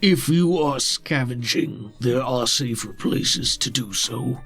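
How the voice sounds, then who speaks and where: A man's voice: mid-range voice, expressive, slow pace, creaky, a bit bright, heavily acted. A man voicing a huge, taciturn scavenger in a tabletop game, speaking in clipped, stilted, formal sentences. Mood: guarded, terse. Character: huge taciturn scavenger brute, terse and halting. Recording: music behind.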